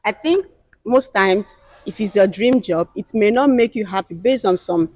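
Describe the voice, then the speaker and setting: A woman from Nigeria hosts a relaxed panel chat, searching for her words and filling the gaps with hesitant ums. Her voice sits in a middle register, and the recording is close and dry with no room sound. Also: mid-range voice, moderate pace, dry recording. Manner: hesitant, with many fillers. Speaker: host. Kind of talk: casual panel discussion.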